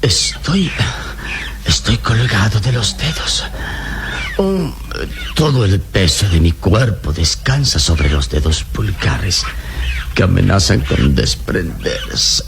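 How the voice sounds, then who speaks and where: An actor playing a noble, unbreakable adventure hero in a melodramatic radio drama, speaking solemnly and sententiously with a creaky deep voice. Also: male voice, sing-song, slow pace, over music, exaggerated voice. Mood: strained. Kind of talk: melodramatic Latin American radio drama acting.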